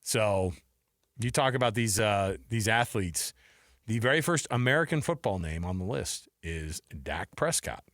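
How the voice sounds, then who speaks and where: A man's voice: fairly low pitch, animated, a little slow, fairly bright tone, no echo. A man co-hosting a sports radio show, talking casually as he runs through lists, close on a studio microphone. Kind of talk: casual sports talk, running a list quiz.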